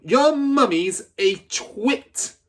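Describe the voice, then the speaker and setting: Man acting menacing giant headmistress, raised pitch, loud barked orders, bullying, children's storybook. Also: expressive, extremely slow, full character voice. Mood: furious bellowing. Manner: shouting, barking commands. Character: huge bullying headmistress, furious, barking and menacing.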